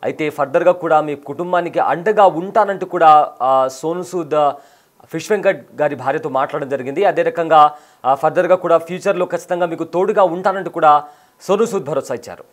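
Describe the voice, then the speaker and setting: Halting man reporter, slightly high voice. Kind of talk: news report with halting delivery.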